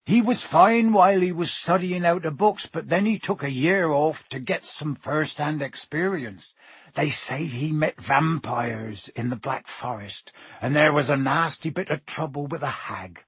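A man's voice: a little high, measured pace, a bit bright, husky, no echo, exaggerated voice. A man voicing a huge, jovial groundskeeper who talks in a hearty, folksy way.

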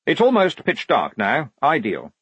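A man's voice: a little high, wildly swooping pitch, measured pace, fairly bright tone, husky, dry recording, voice pushed up, heavily acted. A man voicing a prim, pompous lieutenant in an audiobook, proud of his own cleverness, with pedantic, clipped, officious delivery. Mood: brisk, officious.

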